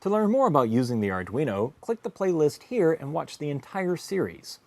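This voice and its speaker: A man's voice: on the high side, sing-song, normal speed, darkish tone. A man narrating a scripted technical tutorial as an instructor, explaining things methodically in carefully phrased steps. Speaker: instructor and host of an electronics tutorial series.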